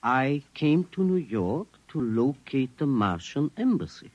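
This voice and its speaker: A man's voice: mid-range voice, big pitch swings, measured pace, lo-fi sound. An actor in a 1950s radio drama playing an earnest, formal man with a pedantic, emphatic way of speaking. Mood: formal, serious. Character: earnest, precise, formal man convinced Martians live among us.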